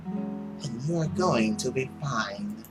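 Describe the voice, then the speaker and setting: Hushed, possessive mother, read by a man. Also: mid-range voice, lively intonation, slow pace. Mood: coaxing, possessive. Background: guitar music.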